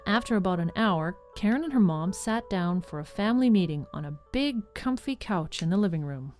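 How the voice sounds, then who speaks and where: A woman's voice: lowish voice, animated, brisk, a little mellow. A woman narrator reading scripted storybook narration for an audio drama. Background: music behind the voice.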